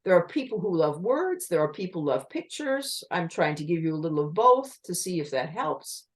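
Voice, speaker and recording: Lively woman presenting a talk online. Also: on the low side, normal speed. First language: English. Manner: lively, explanatory, asks rhetorical questions. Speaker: communication trainer giving an online talk.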